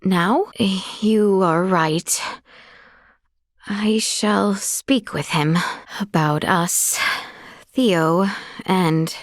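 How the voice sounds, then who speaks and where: A woman's voice: on the low side, very slow, pauses often, dry recording. A woman playing a young princess in a video game, speaking in a formal, courtly and earnest way, recorded dry in a studio. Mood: polite, composed. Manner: formal, courtly, archaic phrasing. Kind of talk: scripted video game voice acting.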